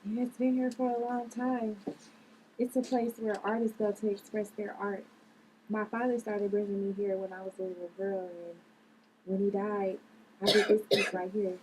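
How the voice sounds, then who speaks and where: A woman's voice: medium pitch, little pitch movement, moderate pace, a little mellow, a little hiss or hum, lightly acted, poor audio. Woman reading an earnest, protective young woman. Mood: reflective. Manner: plain, earnest. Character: generous, protective young woman, reflective and caring.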